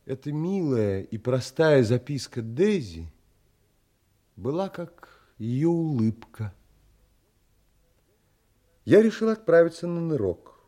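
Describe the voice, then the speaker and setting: An actor playing a pensive, tender gentleman who narrates his own story in an audio play, measured and reflective. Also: a little high, sing-song, exaggerated voice.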